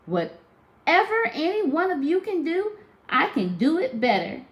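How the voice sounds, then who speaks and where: A woman's voice: extremely high pitch, very animated, measured pace, pitched above the performer's own voice, full character voice. A woman voicing a brash, sassy little girl who brags proudly and emphatically in a storybook read-aloud. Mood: proud, bold.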